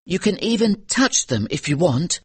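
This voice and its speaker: Jealous little boy, read by a man, squeaky high voice, breathy, lively swings, dry storybook read. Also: moderate pace, no room sound, heavily acted. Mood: explaining.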